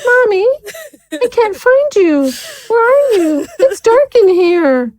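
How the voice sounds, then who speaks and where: Very high, plaintive ghost girl. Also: big pitch swings, slow pace, darkish tone, no echo, full character voice. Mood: frightened, pleading. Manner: plaintive, childlike. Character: cold, lost, frightened little drowned ghost girl. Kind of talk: audio horror drama acting.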